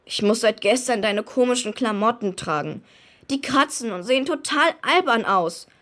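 A woman's voice: fairly high pitch, expressive, normal speed, fairly bright tone. An actress playing a cheeky, blunt young superhero boy in a children's radio play. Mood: matter-of-fact.

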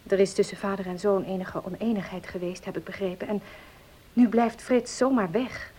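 A woman's voice: medium pitch, steady tone, moderate pace, dark tone, a little hiss or hum, natural voice. An actress playing an earnest, troubled young woman in a Dutch radio play, speaking politely, formally and with some hesitation. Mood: hesitant, polite.

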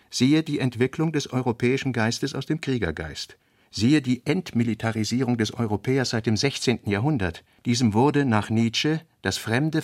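A man reading essayistic literary prose for radio with a measured, deliberate delivery. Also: medium pitch, no room sound, studio quality. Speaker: narrator.

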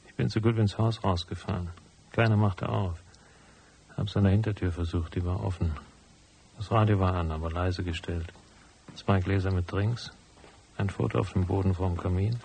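An actor playing a tough, cynical private detective in a noir radio drama, speaking in a laconic, unsentimental manner. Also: male voice, low-pitched, fairly even intonation, moderate pace, vocal fry, darkish tone, slight background noise. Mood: terse. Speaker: narrator and lead character.

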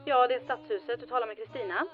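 A woman's voice: a little high, close to an everyday voice. Bit muffled, faint music, lady as sassy town hall secretary in a radio play, teasing n sardonic. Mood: businesslike. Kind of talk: radio drama acting.

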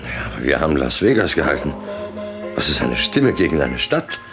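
Cold cynical mob boss, sixties radio drama, really deep swooping voice over music. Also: male voice, sing-song, brisk, gravelly, full character voice. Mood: cynical, triumphant. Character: ruthless male crime boss, cynical and self-assured. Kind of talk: 1960s German radio drama acting.

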